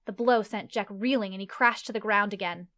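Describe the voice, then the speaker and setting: Mid-pitched woman narrating a fantasy story. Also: fairly quick, fairly bright tone.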